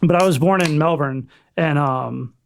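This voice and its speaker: A young man from Florida, a firefighter paramedic, chatting casually as a podcast guest in a relaxed, rambling way with casual swearing. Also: a little high, moderate pace, creaky. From Florida, USA. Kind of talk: casual chat.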